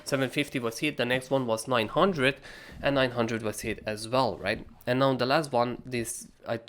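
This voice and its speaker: A young man with a raspy voice from being sick, casually walking through a trading chart analysis and often checking in with "right?". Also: medium pitch, fairly even intonation, normal speed, fairly bright tone. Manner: casual, frequent "right?" tags and fillers. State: sick with a cold.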